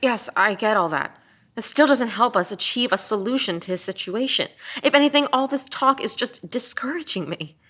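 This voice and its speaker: Hot-headed female cop, woman acting; forceful, slightly high voice, incredulous and argumentative, dramatic read. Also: animated, moderate pace. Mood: sarcastic, frustrated.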